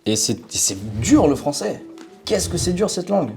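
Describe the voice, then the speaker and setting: A man's voice: sits high, moderate pace, gravelly, soft background music. A young man from Portugal, a native Portuguese speaker, telling stories casually as an interview guest with lots of fillers. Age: young adult. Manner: relaxed, animated storytelling with frequent fillers. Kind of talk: casual conversational storytelling.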